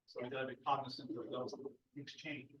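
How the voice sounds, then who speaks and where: A man talking casually, in a slow and halting way. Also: mid-range voice, flat delivery, fairly bright tone. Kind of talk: casual chat. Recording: distant, roomy, dull.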